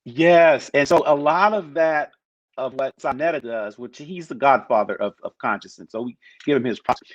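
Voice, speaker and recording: A man's voice: medium pitch, moderate pace, no echo. A man on a talk panel, speaking casually and rambling with many restarts. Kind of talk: casual chat. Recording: muffled lo-fi sound.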